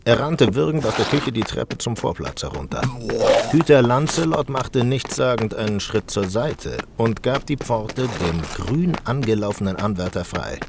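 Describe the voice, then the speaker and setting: Animated, gravelly male storyteller. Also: mid-range voice, normal speed, very noisy. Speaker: narrator. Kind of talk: dramatic scripted storytelling for a fantasy audio drama.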